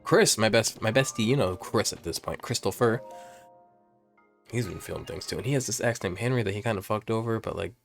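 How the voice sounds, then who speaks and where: A man in his late twenties chatting casually in a vlog, rambling and jumping up in pitch when excited, filmed on a phone at home. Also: brisk, creaky, soft background music. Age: 20s. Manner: rambling, animated, swings high when excited. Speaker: vlogger. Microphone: phone camera.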